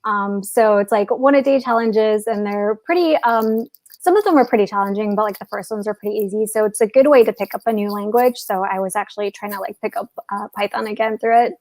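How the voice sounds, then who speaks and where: A woman's voice: medium pitch, fairly quick, darkish tone, dry recording, rough recording. A young woman from Chicago with a Chicago accent, chatting casually as a guest on a livestream video call, giggly and full of "um" and "like". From Chicago, USA. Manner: chatty and giggly, many fillers like "um" and "like". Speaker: guest speaker, software developer.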